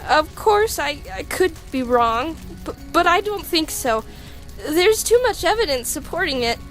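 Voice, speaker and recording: A woman's voice: sing-song, a little slow, fairly bright tone, exaggerated voice. A woman voicing a nervous, geeky female monster scientist in a comic dub, stammering as she explains earnestly. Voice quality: stammering, high-pitched. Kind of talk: animated comic dub acting. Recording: music behind the voice.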